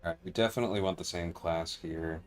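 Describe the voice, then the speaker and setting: Low-voiced man casually explaining on a livestream. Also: fast, faint music behind. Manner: conversational, explanatory, often checks with "right?". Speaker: livestream host and game developer.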